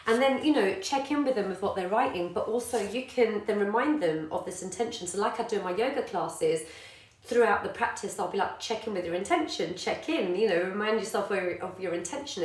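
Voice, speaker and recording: A woman, a yoga teacher and parent chatting casually to live viewers with many ums. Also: medium pitch. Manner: warm encouraging, many ums, greets viewers by name. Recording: echoey room.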